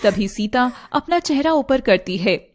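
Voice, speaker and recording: A woman's voice: a little high, lively intonation, a little slow. A woman narrating a scripted story in a dramatic storytelling style.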